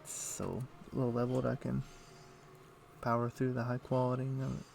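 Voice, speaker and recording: Gravelly-voiced man streaming a game. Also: mid-range voice, monotone, normal speed, a little mellow, slight background noise. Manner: rambling, thinking aloud to himself. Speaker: livestream host. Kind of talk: casual gaming stream chat.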